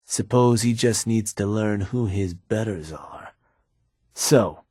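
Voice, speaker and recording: An actor playing a shrewd, calm male raider lieutenant in a game, speaking in a dry, calculating drawl. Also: fairly low pitch, husky, no room sound. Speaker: game character. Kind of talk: game voice lines.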